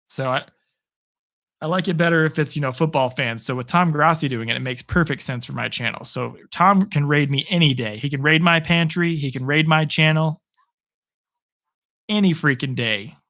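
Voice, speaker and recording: A man streaming live football reactions, his voice worn hoarse after a long session. He sits a bit higher in pitch than most men and keeps up a chatty, upbeat stream of commentary and replies to viewers. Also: moderate pace, bright, forceful tone. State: hoarse from hours of talking. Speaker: sports streamer. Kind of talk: live play-by-play and chat reactions.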